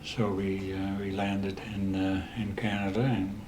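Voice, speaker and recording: Older Dutch-accented man in his eighties, unhurried and level, stop-start storyteller; echoey room. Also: deep voice, flat delivery, noisy background. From the Netherlands. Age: 80s. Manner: halting, with many restarts and pauses. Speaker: interview guest. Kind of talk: storytelling in a casual interview.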